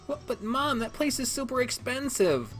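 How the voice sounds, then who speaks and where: A man playing a shy, easily embarrassed teenage boy with a soft, sheepish manner. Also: very high voice, sing-song, normal speed, faint music behind, pitched above the performer's own voice, heavily acted. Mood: mortified.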